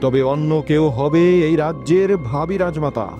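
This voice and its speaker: A man voices a grave cartoon monarch with a formal, commanding delivery. His voice is moderately high, set over loud dramatic music. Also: moderate pace, close to an everyday voice. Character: stern, authoritative king. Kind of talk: dramatic cartoon fairy-tale voice acting.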